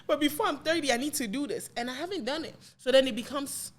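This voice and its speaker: A woman's voice: sits high, animated. A woman in her late twenties talking candidly in a casual discussion, often restarting her sentences. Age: late 20s. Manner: candid, talks in quick bursts with restarts.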